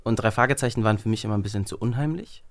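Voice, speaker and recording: A man's voice: lowish voice, rapid-fire. A young man as an interview guest, telling anecdotes in an informal, self-amused way. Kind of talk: casual anecdotal chat.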